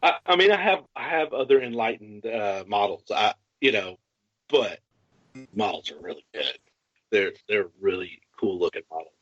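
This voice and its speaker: A man's voice: lowish voice, animated, normal speed, a bit bright, slightly rough, no room sound. A man hosting a podcast, chatting casually about a tabletop hobby in a conversational way. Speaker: podcast host. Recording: dull, lo-fi podcast audio.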